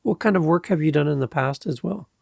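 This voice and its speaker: A man's voice: a little high, fast, gravelly, breathy edge. A man hosting a podcast, asking his guest questions in a casual conversational style. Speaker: podcast host, interviewer. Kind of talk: casual interview.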